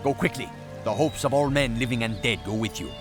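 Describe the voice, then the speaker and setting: A grave, weary ancient warrior acted by a man for a video game. His mid-pitched voice is earnest and declamatory, set over clearly audible music. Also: a little fast, close to an everyday voice. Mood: grave, urgent. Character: grave, weary warrior shade rallying a hero. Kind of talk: scripted video game acting.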